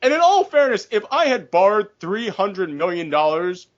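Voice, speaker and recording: A man hosting a podcast, chatting casually and opinionatedly, telling stories in an animated, profane way. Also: sits high, normal speed. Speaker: podcast host. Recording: dull lo-fi voice recording.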